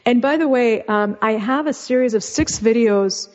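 A woman's voice: a little high, normal speed, darkish tone. A woman guest and author whose first language is Russian, explaining things in a chatty, casual interview manner with frequent restarts. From California. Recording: muffled, with long reverb.

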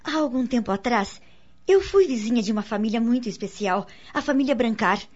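A woman's voice: on the high side, animated, a little fast, darkish tone. A woman with a Brazilian accent playing an anxious, insecure wife in a radio soap opera, earnest and formal in her melodramatic delivery. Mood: hesitant, curious. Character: anxious, insecure young wife and mother, pleading. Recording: dull lo-fi radio drama, music underneath.

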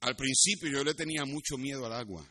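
A male pastor with a Puerto Rican accent delivers a sermon in a bright, forceful voice. His pitch sits in the middle range for a man. He explains things like a teacher and sometimes slips into English. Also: fast talker. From Puerto Rico. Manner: didactic, switches into English asides. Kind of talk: sermon with Bible teaching and personal anecdotes.

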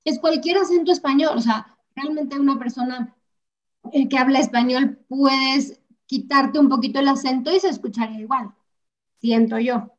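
A woman's voice: high-pitched, normal speed. A woman from Mexico in a casual film chat, talking chattily and hedging with fillers. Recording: slightly dull, lo-fi sound.

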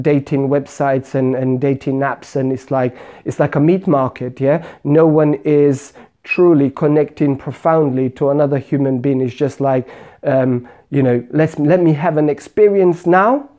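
Earnest man with a warm, mellow voice. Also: a little high. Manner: earnest, repetitive listing, preachy. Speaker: podcast host and mindset coach. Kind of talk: motivational monologue.

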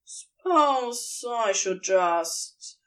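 A woman's voice: on the high side, lively intonation, drawn-out words. A woman voicing a vain, teasing male demon with a sly, drawling way of talking.

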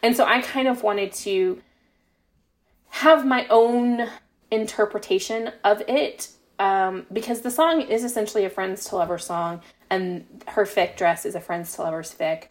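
A woman's voice: medium pitch, a little slow. A woman with a mid-range voice talks as a guest author in a casual interview. She explains her creative choices reflectively, in loose, conversational sentences peppered with filler phrases. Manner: reflective, explains at length with frequent "you know" and "like".

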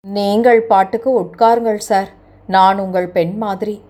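A woman's voice: mid-range voice, fairly even intonation, moderate pace, dark tone, lightly acted. A woman voicing a distraught, remorseful young woman who speaks in a sorrowful, pleading way. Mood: polite.

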